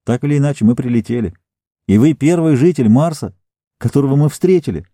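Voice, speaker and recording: A man's voice: medium pitch, animated, fairly quick, mellow, no echo, studio quality. A man voicing a plump, self-assured Earth rocket captain who talks eagerly and insistently.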